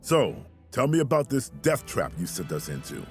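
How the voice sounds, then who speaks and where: Commanding military colonel, lively pitch. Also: male voice, normal speed, soft background music. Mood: brisk, commanding. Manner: commanding, informal soldier talk. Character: gruff, confident mobile infantry colonel leading troopers. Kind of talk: military video game acting.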